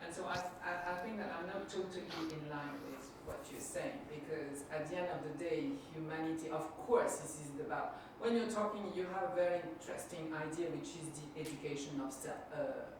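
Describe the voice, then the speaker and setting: A woman interviewer, born in Europe, speaks in a low voice, hesitant and often restarting as she politely challenges. She is far from the mic in a very echoey room. Clear background noise runs under her. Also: brisk, hardly any pauses. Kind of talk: conversational interview questions.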